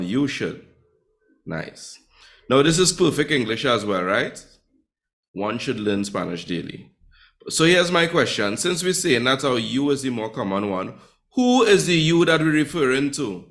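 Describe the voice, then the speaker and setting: A man runs a live online Spanish class. He talks playfully, teasing his students and calling on them by name. His voice sits at an ordinary, mid-range pitch. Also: fairly bright tone. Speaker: Spanish teacher.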